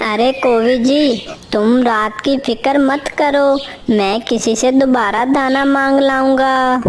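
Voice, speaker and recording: A lazy, crafty husband crow from a children's cartoon acted by a woman, fairly high in pitch. He talks very slowly and coaxingly, as if always angling for a favour. Noticeable noise sits behind the voice. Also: fairly even intonation. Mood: self-assured. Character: lazy, scheming male crow, a wheedling husband. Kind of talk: Hindi children's cartoon voice acting.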